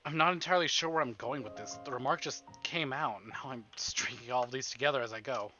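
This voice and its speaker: A man's voice: mid-range voice, fast, dull audio. A man livestreaming a visual novel, reading the narration expressively and breaking into theatrical, exasperated asides. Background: game music.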